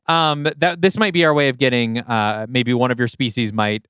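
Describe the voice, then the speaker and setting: A man's voice: medium pitch, steady tone, normal speed, a bit bright, smooth, no echo, studio quality. A man in his thirties co-hosting a casual podcast, easygoing and teasing, with frequent fillers. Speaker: podcast co-host.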